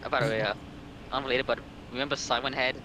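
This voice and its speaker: A man's voice: mid-range voice, fairly even intonation, fast, noisy background. A man joking around in casual chat as a guest on a livestream. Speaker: stream co-host.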